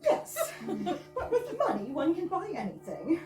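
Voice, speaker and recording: High, wildly swooping prim housewife played by a woman. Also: huge pitch swings, a little slow, loud music behind the voice, exaggerated voice. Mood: absurdly certain. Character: prim, scolding English middle-class housewife. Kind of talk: amateur readers theater, absurdist comedy. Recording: roomy, distant mic, faint noise.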